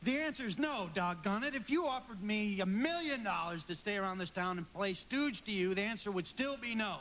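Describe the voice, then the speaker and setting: High-voiced, earnest young small-town fellow. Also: male voice, animated, a little fast, a bit bright, a little hiss or hum. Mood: defiant. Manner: pleading, folksy, stammering. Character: earnest, impassioned young small-town male loan manager. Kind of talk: live radio drama acting.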